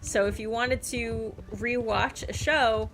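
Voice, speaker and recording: A woman's voice: on the high side, fairly even intonation, slow. A woman livestreaming a game, chatting and rambling casually and reading things aloud as she plays. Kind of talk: casual stream chat and game commentary.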